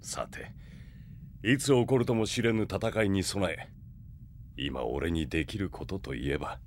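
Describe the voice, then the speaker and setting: A man's voice: deep voice, unhurried, a little hiss or hum. An actor playing a grave, authoritative warrior king, a mature man, in an anime audio drama. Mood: grave, brooding.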